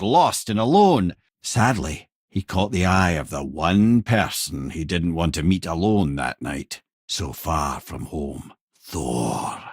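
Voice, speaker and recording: A worldly-wise old Scottish storyteller, a reanimated severed head from a video game. His delivery is wry and eloquent, with old-fashioned phrasing and a lively, swooping melody. The sound is dry, with no room around it. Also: lowish voice, slightly rough, dry recording, exaggerated voice. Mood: grave storytelling.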